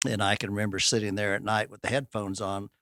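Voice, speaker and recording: A man in his sixties with a Midwestern American accent, a guitarist reminiscing in relaxed conversation. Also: lowish voice, fast talker, no echo, studio quality. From Kansas City area. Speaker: band guitarist. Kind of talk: casual chat. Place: radio studio.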